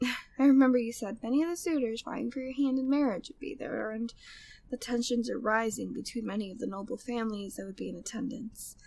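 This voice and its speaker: A woman's voice: fairly high pitch, steady tone, brisk, darkish tone. A woman playing a flirty, devoted, gossipy maid at a manor in an ASMR audio drama, speaking affectionately and teasingly in formal period wording. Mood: curious, playful.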